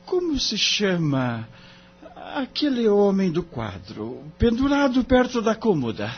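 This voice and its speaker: A man's voice: sits high, wildly swooping pitch, measured pace, slight background noise, full character voice. A man playing an earnest, respectful young man in an audio drama, polite and deferential, with a Brazilian accent. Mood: curious, polite. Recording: dull lo-fi audio.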